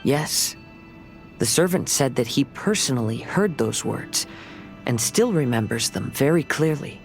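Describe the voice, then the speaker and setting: A man playing a gentle, pensive young wandering samurai in a video game, speaking in a measured, polite, reflective way. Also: medium pitch, animated, faint music behind. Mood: earnest. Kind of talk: scripted video game voice acting.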